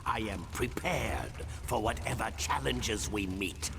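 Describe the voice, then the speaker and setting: An actor playing a self-assured sorcerer villain in a superhero game, with formal, suave delivery. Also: male voice, on the low side, measured pace, gravelly, noisy background. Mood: confident, placating.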